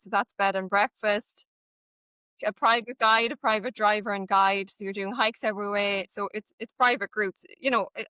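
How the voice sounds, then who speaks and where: A woman's voice: medium pitch, sharp tone, clean tone, dry recording. A woman with an Irish accent, guest on an interview, explaining things in a chatty way with many "like" and "um" fillers. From Ireland. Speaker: guest, walking tour operator. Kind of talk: casual interview chat.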